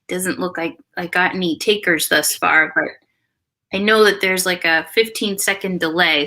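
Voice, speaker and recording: A woman's voice: lowish voice, pushed and bright. A woman co-hosting a livestream in a casual, chatty and informal way. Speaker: livestream co-host.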